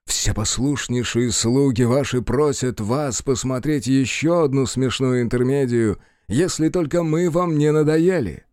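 A man voicing a theatrical actor-playwright, flattering and hot-tempered, who declaims grandly in a stage play reading. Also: mid-range voice, unhurried, few pauses, dry recording, studio quality, close to an everyday voice. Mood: courtly. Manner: grandly declaiming, slight stammer at first.

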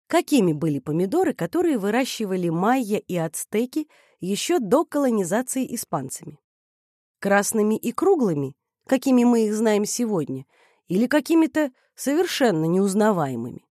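A young adult woman reading an explanatory narration in an even, scripted manner. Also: mid-range voice, normal speed, dry recording, studio quality. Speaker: presenter.

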